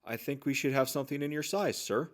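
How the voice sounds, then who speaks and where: An eager small-town trader of the wasteland, played by a man, talks in obliging sales patter. His voice sits at an ordinary middle pitch, as acted for an audio drama about life after the apocalypse. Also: fast talker, close to an everyday voice. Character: chatty, obliging male general-store trader in a wasteland town. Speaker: voice actor playing a shopkeeper.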